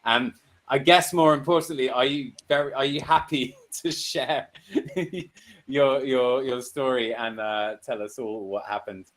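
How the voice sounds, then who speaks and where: A man's voice: mid-range voice, a little slow, a bit bright. A man hosting a casual online lesson, chatty, with frequent laughs and fillers. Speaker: host and English teacher.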